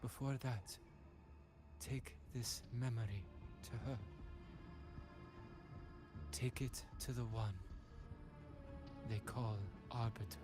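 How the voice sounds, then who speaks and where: Man voicing a slow, solemn fading spirit. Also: medium pitch, steady tone, close to an everyday voice. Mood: solemn, urgent. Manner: slow, halting, portentous delivery. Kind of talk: dramatic game voice acting. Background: game music.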